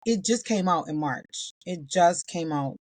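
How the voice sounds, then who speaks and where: A woman co-hosting a casual talk show, her voice still a little husky from being ill, talking with enthusiasm in a folksy way. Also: on the low side, animated, a little slow, darkish tone, no echo. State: slightly husky, recovering voice. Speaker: podcast co-host. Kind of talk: casual chat.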